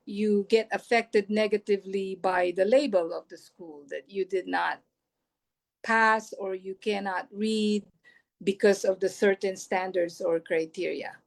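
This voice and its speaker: A woman with a Filipino accent, whose first language is Filipino, answers a conference question slowly and thoughtfully. She thinks aloud as she talks, at an unhurried pace. Her voice sits at an ordinary pitch. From Philippines. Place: United States.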